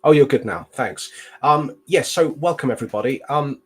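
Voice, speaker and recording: A man's voice: medium pitch, expressive, moderate pace, breathy edge. A man hosting a live stream interview, polite and formal, with occasional fillers. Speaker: host.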